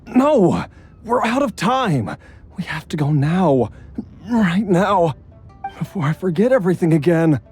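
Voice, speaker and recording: A man voicing an ailing, earnest husband in a video game, pleading and halting, with a breathy, husky voice. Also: high voice, very animated, darkish tone, soft background music. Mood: desperate, urgent.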